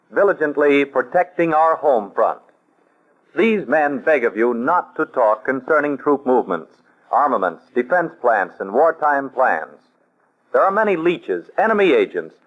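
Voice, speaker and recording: A man announcing for a 1940s radio drama, stirring and emphatic. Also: a little high. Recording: dull old radio with music.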